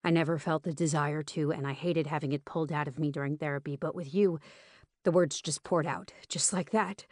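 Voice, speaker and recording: A woman's voice: low voice, fairly even intonation, brisk, a little mellow, no room sound, studio quality. A woman voicing a reserved man in an audiobook, speaking to his lover with cautious, reluctant emphasis. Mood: confiding. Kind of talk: audiobook character dialogue.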